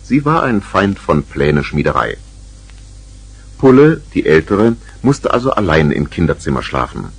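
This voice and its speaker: A man's voice: sits low, sing-song, moderate pace, breathy edge, dry recording. A man narrating a 1970s children's radio play as a scripted storyteller.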